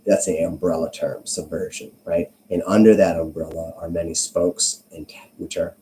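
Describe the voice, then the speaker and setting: Low, mellow-voiced man vlogging. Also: flat delivery, normal speed, a little hiss or hum, rough recording. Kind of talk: informal explanatory monologue.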